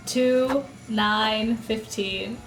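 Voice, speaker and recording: A woman's voice: a little high, steady tone, very slow. A woman playing a tabletop game in casual chat, often tentative and trailing off. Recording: echoey room, faint music.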